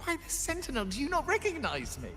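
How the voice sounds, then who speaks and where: A man's voice: very high-pitched, huge pitch swings, quick pace, bright, forceful tone, soft background music, heavily acted. An actor playing a sly, mocking male cult acolyte in a game, theatrical and taunting.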